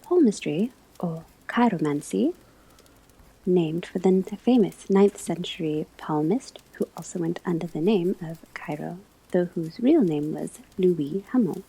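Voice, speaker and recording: A woman playing a patient, scholarly witch-academy teacher in an ASMR roleplay, lecturing in soft breathy whispers with formal, deliberate phrasing. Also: lowish voice, lively intonation, exaggerated voice, low-quality recording. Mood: instructive, measured.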